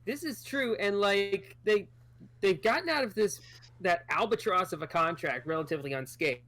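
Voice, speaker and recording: A thirty-year-old podcast co-host in casual sports chat, chatty and trailing off into low asides. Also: normal speed, a bit bright, dry recording.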